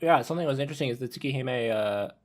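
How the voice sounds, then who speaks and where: Laid-back male podcast panelist, middle-range voice, halting and self-correcting in casual discussion. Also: mid-range voice.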